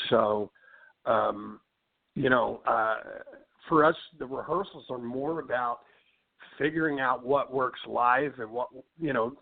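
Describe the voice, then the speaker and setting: A man's voice: medium pitch, vocal fry. Man on a tinny phone line, rambling. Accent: Philadelphia. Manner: rambling, many fillers and restarts, says "you know what I mean". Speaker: rock band singer, interview guest. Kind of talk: casual interview chat.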